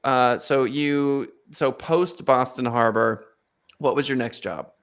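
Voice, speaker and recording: A man's voice: mid-range voice, fairly even intonation, takes it slowly, smooth. A male podcast host chatting with a guest in an enthusiastic, encouraging way, with many fillers. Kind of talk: casual interview chat.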